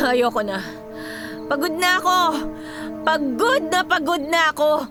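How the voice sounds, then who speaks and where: An actress playing an overworked young millennial daughter with a Filipino accent, theatrical and sarcastic in a comedic radio drama. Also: female voice, high-pitched, takes it slowly. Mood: weary, dramatic. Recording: music behind the voice.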